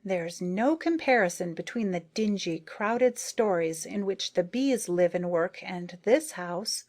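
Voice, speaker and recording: A woman's voice: medium pitch, lively intonation, measured pace, darkish tone. A woman voicing a young, shy and polite female bee with an eager, admiring manner.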